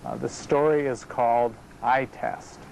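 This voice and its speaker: A man's voice: mid-range voice, steady tone, measured pace, creaky, background noise. Hesitant man introducing his reading. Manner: hesitant with many fillers in the intro. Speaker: local writer. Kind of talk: introduction then fiction reading.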